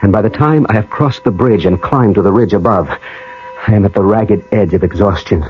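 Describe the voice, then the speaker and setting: A man acting in a 1980 radio drama as a brooding man on a pilgrimage, telling it in a measured, atmospheric first person. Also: on the low side, close to an everyday voice. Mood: brooding, suspenseful. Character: brooding American man on an ancestral pilgrimage, narrating. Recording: dull old radio broadcast with music.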